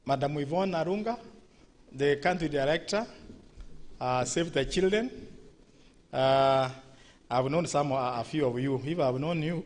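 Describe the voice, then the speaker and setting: A man with a Kenyan accent, a ministry director giving formal salutations at a launch event, with frequent hesitations. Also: on the high side. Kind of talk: formal address.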